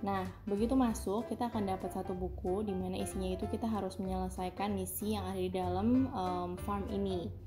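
A woman's voice: medium pitch, steady tone, fairly quick, few pauses. A woman giving a casual vlog voice-over as she narrates a family outing. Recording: music behind the voice. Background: clear background music.

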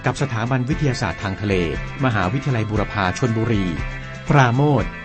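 A man's voice: mid-range voice, brisk. A man reading scripted narration for a documentary feature. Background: music.

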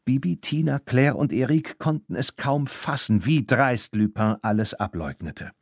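A man reading a children's audiobook as narrator, in an expressive storytelling style. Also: medium pitch, a little slow, vocal fry, no room sound, studio quality.

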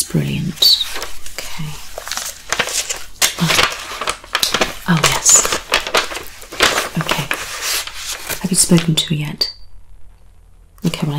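A woman in her thirties with a British accent playing a methodical crime scene investigator in a soft, partly whispered ASMR roleplay. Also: low-pitched, little pitch movement, measured pace, gravelly, pauses often, noisy background. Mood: methodical, focused.

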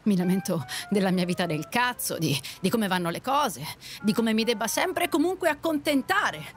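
A woman's voice: mid-range voice, big pitch swings, moderate pace, faint music behind, lightly acted. A woman playing a blunt, discontented taxi passenger in an audio drama, direct and questioning with a cynical streak. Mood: bitter, frank. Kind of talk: Italian audio drama dialogue.

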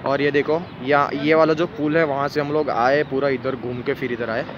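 A man's voice: medium pitch, little pitch movement, moderate pace, highs cut off, crowd noise. A young man from India narrating a casual vlog to a phone camera held at arm's length outdoors, joking with his friends. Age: young adult. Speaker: vlogger. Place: outdoors on a city street and riverfront. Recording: noisy outdoor phone recording. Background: traffic and street noise.

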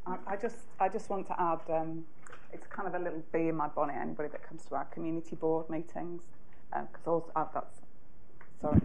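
A New Zealand woman with a big, loud voice and a fairly low pitch. She speaks as a teacher addressing a council meeting, chatty and full of fillers and restarts. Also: steady tone, quick pace, gravelly, long pauses, slight background noise, rough recording. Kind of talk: public submission at a council meeting. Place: council chamber.

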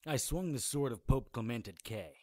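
A man's voice: medium pitch, expressive, moderate pace, a little mellow, no echo, natural voice. A man as wry, defiant radio drama hero. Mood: wry narration. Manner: wry, dry, plainspoken. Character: defiant, wry occult hero narrating his own story. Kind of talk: radio drama, first-person noir narration and dialogue.

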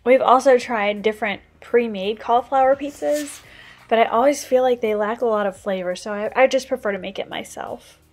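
A woman's voice: on the high side, a little fast, a little mellow, faint music behind. Chatty mom narrating her cooking. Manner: chatty, practical, explains tips as she goes. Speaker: stay-at-home mom cooking vlogger. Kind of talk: casual cooking voice-over.

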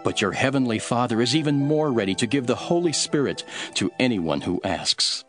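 An actor playing a calm, authoritative male teacher telling parables in an earnest, deliberate scripture drama. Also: mid-range voice, faint music behind, lightly acted. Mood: earnest teaching. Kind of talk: dramatised scripture reading, measured storytelling.

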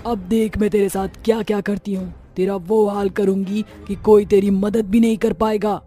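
A woman's voice: medium pitch, little pitch movement, normal speed, dark tone, slightly rough, faint music behind, close to an everyday voice. A woman voicing a proud, vengeful witch with a menacing, indignant manner in a cartoon horror story. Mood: mocking, threatening. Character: vengeful, proud female witch who was a poor cobbler.

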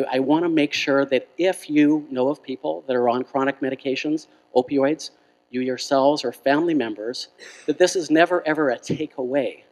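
A man's voice: on the high side, a little mellow. A middle-aged man, a physician, giving an earnest, explanatory public health presentation with emphasis on key words. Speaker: physician speaking as health plan medical officer. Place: indoor function room at a lectern. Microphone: handheld microphone through room. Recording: reverberant hall sound.

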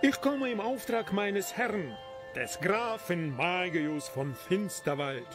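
A man's voice: sits high, animated, slow, over music. A man playing a stiff, haughty count's servant who speaks with archaic, formal politeness in an audio drama. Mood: courtly, haughty.

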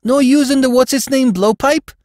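A man voicing an eager, dim small-time crook in an audiobook, with a New York accent and slangy, ungrammatical, excitable talk. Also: a little high, measured pace, no room sound, clean studio sound, voice pushed up. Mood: dismayed.

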